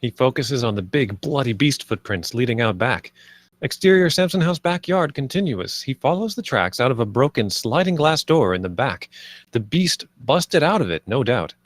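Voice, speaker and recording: A man's voice: mid-range voice, lively intonation, moderate pace, no echo. A man reading stage directions as the narrator of a screenplay table read.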